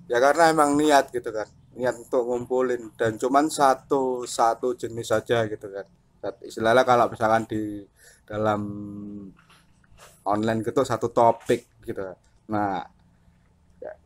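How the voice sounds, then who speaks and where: A man with speech, a radio hobbyist chatting informally and a little haltingly as he hosts a vlog. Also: mid-range voice. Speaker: amateur CB radio operator and vlogger. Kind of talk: casual radio chat.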